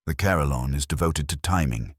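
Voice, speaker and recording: A man voices a polished gentleman of a society club in a mystery audiobook. The voice is extremely low, cool and condescending. The recording has a dry sound. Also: a little fast, slightly rough, no echo. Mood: cool condescension.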